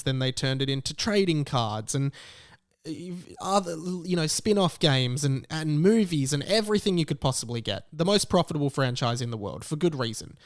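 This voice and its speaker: A young Australian man talks casually as a podcast host. His voice is smooth and slightly high for a man, recorded dry and close, and he breaks sentences up to lean on important words. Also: dry recording. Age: late 20s.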